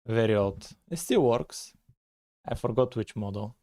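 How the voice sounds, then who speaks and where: A man, talking casually on a live coding stream, thinking aloud with frequent pauses and "uh"s. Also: on the low side, animated, mellow. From Bulgaria. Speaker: live-streaming game developer.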